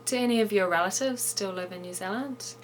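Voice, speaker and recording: A woman host reading a short scripted news item in a clear, measured way, then asking interview questions. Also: medium pitch, slight background noise.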